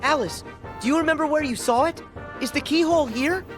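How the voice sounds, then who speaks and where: A man's voice: very high-pitched, brisk, music in the background. A man playing a brave, good-hearted teenage boy hero in a video game, eager and plucky. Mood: determined. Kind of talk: animated game voice acting.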